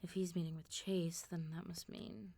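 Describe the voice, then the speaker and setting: A woman voicing a calm, flirtatious, quietly threatening female vampire mob boss in a soft ASMR roleplay. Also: fairly low pitch, normal speed, noisy background, natural voice. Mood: persuasive, probing. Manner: controlled, smooth-talking, teasing, quietly threatening.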